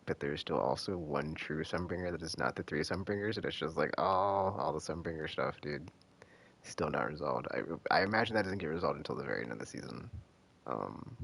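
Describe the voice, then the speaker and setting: A man live streaming a game, casually thinking aloud in halting, rambling commentary with trailing sentences. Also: rumbling low, gravelly, fairly bright tone, husky.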